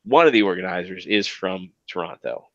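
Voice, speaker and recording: A man's voice: sits low, animated, normal speed, a bit bright, dry recording, muffled recording. A man with a Maryland accent co-hosting a podcast, rambling casually with lots of fillers and restarts. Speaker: podcast co-host. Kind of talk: casual chat.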